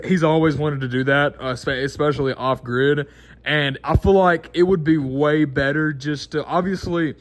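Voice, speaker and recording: A twenty-year-old man, talking casually to camera in a rambling, unscripted way full of restarts and fillers. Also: a little high. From Mississippi, American South. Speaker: vlogger.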